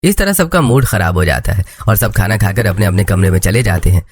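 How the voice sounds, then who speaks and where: A man's voice: on the low side, animated, fairly quick, husky. A man reading scripted narration for a cartoon family story.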